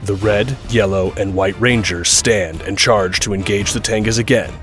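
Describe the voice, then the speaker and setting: Low-voiced man narrating action over music. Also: normal speed, a bit bright. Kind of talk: scripted audio drama action narration.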